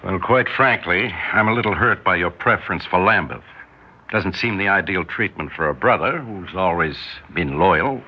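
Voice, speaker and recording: An actor in a 1960s radio drama playing a smooth, scheming brother, speaking in a measured, insinuating way. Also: male voice, low voice. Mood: smooth, pressing. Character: smooth, scheming brother in a family business plot. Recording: muffled, hissy 1960s radio recording.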